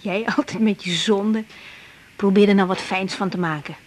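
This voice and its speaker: An actress playing a teasing, affectionate young bride and doctor in a radio drama, with a Netherlands Dutch accent. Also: female voice, medium pitch, normal speed, slight background noise, natural voice. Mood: concerned, gently teasing. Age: young adult. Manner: teasing, animated, stammers when flustered.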